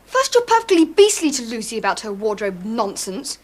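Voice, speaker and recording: A schoolboy elder brother in a radio drama. He is bossy, earnest and protective, scolding with very lively intonation. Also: very high voice, brisk, full character voice. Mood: indignant.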